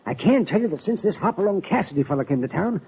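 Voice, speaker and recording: A man's voice: sits high, expressive, quick pace, husky, heavily acted. An actor playing a weary, conflicted middle-aged father mixed up with a gang in an old Western radio drama, with folksy cowboy talk. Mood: uneasy, tender. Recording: muffled, noisy old radio recording.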